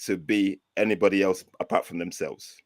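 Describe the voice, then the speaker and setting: A man's voice: sits low, fairly even intonation, moderate pace, no room sound, studio quality. A Nigerian man co-hosting an online discussion, asking an earnest question and repeating his points for emphasis. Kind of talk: casual discussion.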